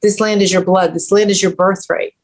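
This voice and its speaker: A woman reading the part of an aging, fiercely protective ranch grandmother at a screenplay table read, in a hushed, firm voice. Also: mid-range voice, steady tone, a little fast, lightly acted. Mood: tender resolve.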